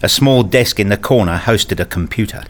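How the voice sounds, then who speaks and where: A man's voice: fairly low pitch, normal speed, lightly acted. A man playing an earnest married father and hobbyist, narrating and acting his scripted story in a radio play. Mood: descriptive. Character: eager married father and hobbyist, a little rueful. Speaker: narrator and main character.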